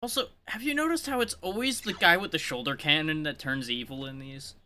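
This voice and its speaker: A man's voice: a little high, brisk, pushed and bright. A man livestreaming a game with casual, dry, sardonic commentary. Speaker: game streamer. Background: game music.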